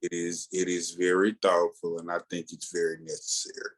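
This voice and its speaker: Guy chatting on a podcast, kinda low voice, laid back and slangy. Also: completely flat delivery, brisk. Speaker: podcast co-host. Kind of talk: casual chat.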